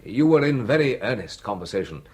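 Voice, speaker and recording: A husky young sleuth. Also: male voice, medium pitch, very animated, brisk. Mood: probing. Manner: curious, quietly alert. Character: young male officer on leave, amateur sleuth. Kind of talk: radio drama acting.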